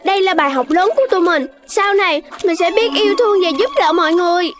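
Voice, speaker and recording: An actor voicing an excitable young animal child in a children's cartoon, with an exclamatory, childlike way of talking. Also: very high-pitched, fast, a little hiss or hum. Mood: earnest.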